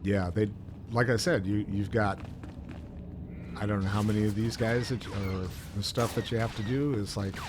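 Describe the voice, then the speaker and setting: A man's voice: lowish voice, steady tone, moderate pace, noisy background. A man co-hosting a gaming show, chatting casually as he plays in a rambling, mildly exasperated way. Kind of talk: casual chat while gaming.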